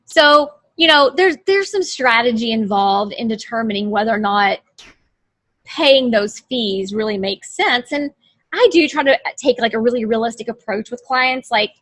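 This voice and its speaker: Bright-voiced woman lawyer on a video call. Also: a little high, animated, normal speed. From United States. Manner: chatty, restarts phrases, talks through her screen steps. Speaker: trademark lawyer. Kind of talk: casual explanatory chat over screen share.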